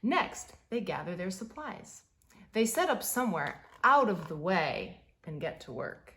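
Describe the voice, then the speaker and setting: Slow, lively woman reading a storybook. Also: lowish voice. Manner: deliberate, animated, emphasising key words. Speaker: teacher reading a picture book aloud. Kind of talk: expressive children's storybook read-aloud.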